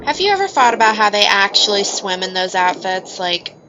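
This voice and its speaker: Bright-voiced woman gaming streamer. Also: medium pitch, big pitch swings, a little fast, a little hiss or hum. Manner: rambling, thinking aloud. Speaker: video game streamer. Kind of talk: casual gameplay commentary. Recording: dull audio with game music. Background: video game music.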